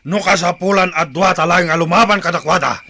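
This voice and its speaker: Blustery hot tempered tribe chief, radio drama, super harsh and raspy. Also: male voice, high-pitched, a little fast, pushed and bright, dry recording, exaggerated voice. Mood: furious, defiant. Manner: blustering, exclamatory, commanding.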